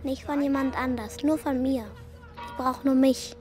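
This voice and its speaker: A woman's voice: sits high, little pitch movement, moderate pace, over music, lightly acted. An actress playing an earnest, pious twelve-year-old girl in a radio drama, eager and childlike.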